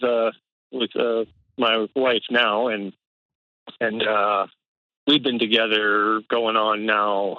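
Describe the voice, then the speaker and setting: A middle-aged man calls into a show and tells his story haltingly, full of fillers and restarts. His voice sits in a middle range. The line sounds dull and dry, like a phone call. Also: medium pitch, dry recording. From Canada. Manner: hesitant, many fillers and restarts, nervous laughs. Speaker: caller. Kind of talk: casual phone-in conversation.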